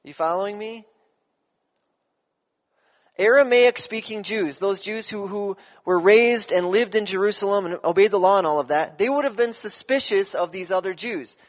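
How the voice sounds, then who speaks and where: Man, pastor, high voice, conversational sermon, long hall reverb. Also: normal speed. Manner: conversational, checks in with listeners.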